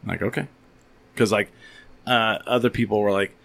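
A man's voice: lowish voice, fairly even intonation, moderate pace, gravelly, a bit bright. A man hosting a podcast from a studio at his house, talking in a loose, chatty way with many restarts. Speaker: podcast host. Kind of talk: casual chat.

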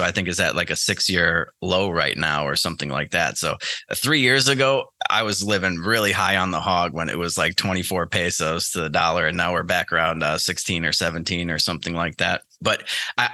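A low-pitched American man gives casual interview answers, thinking aloud as he goes. His voice is very bright and forceful, and the sound is dry and close. He speaks haltingly, as a guest would in relaxed conversation. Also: no echo. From United States. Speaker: podcast guest, trader.

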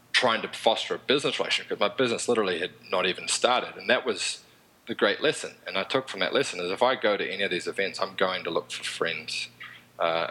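A man's voice: deep voice, pushed and bright, rough recording. A man with a New Zealand accent, a podcast guest talking in a rambling, easygoing way. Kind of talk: casual interview chat.

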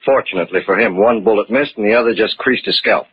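An actor playing a sceptical, no-nonsense older police inspector in a 1940s radio mystery, curt and authoritative. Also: male voice, lowish voice, quick pace, slight background noise, close to an everyday voice. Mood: stern. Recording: muffled 1940s radio recording.

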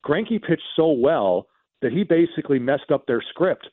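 A man's voice: fairly high pitch, lively intonation, normal speed, dry recording. Guy on sports radio going over old games in detail, kinda emphatic, dry lo-fi sound. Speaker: radio baseball commentator and guest. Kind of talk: unscripted sports talk, recounting games in detail.

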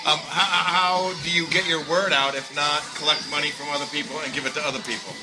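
A man's voice: fairly high pitch, little pitch movement, fairly quick, background noise. A man hosting a comedic talk show, playfully steering the interview. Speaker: host. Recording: music in background.

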